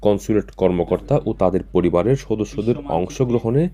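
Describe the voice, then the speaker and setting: Low, mellow Bangladeshi male news reporter. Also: brisk. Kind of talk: scripted news narration.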